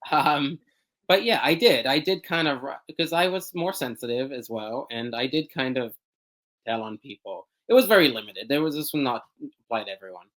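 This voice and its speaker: A young man gives a candid interview answer, wandering through his points with fillers and restarts. He laughs often mid-sentence and pokes fun at himself. His pitch sits in a middle range for a man. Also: animated, moderate pace, a bit bright, low-quality recording. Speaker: podcast guest. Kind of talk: candid personal interview talk.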